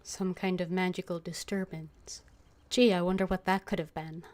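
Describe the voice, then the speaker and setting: A woman's voice: lowish voice, flat delivery, normal speed, a little mellow. A woman streaming a game, voicing her character's lines aloud with casual, wry asides.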